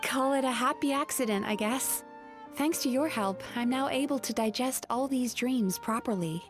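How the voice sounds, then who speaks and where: A woman voicing a gentle dream-eating spirit girl. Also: high-pitched, normal speed, music in the background, natural voice. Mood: apologetic. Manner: soft-spoken, polite and apologetic. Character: gentle young female dream-eating yokai. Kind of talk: video game voice acting.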